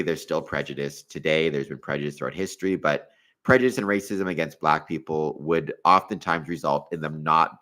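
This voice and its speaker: A man's voice: a bass voice, sharp tone. A man in his forties hosting a live stream, chatting casually as he answers questions and thinking aloud with hedging asides. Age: 40s.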